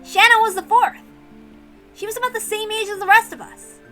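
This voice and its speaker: A woman voicing an energetic, sociable rich teenage tomboy with a brash, emphatic manner. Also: very high voice, brisk, faint music behind, voice pushed up. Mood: excited, fond.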